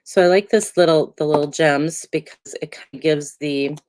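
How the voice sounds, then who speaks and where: A woman with a low voice talks through a card-making demo in a casual, rambling way, filling gaps with ums as she works. She sounds a bit congested from a lingering cold. The recording is close and dry. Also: normal speed, no echo. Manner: chatty, relaxed, many ums, talks while working. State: congested, getting over a cold. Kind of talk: casual crafting tutorial.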